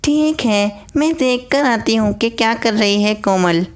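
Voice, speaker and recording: A woman's voice: on the high side, expressive, a little slow, voice pushed up. A woman voicing a nosy, scolding bulbul bird in a cartoon story, blunt and exclaiming. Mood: nosy, curious.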